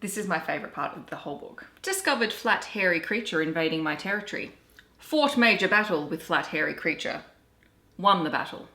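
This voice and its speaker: An Australian woman reading children's storybooks aloud in an animated, deliberate storytelling way. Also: fairly low pitch. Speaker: children's story reader and book vlogger. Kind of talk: expressive storybook read-aloud. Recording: roomy, echoey home recording.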